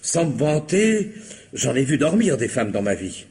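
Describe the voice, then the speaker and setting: A man's voice: medium pitch, very animated, darkish tone, slightly rough, full character voice. A man playing a talkative police commissioner in a radio comedy-mystery, falsely courteous and insinuating, hesitating and drawing out his words. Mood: chatty, ironic. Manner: hesitant, insinuating, mock-polite, drawn-out words. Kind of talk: theatrical French radio comedy-mystery acting.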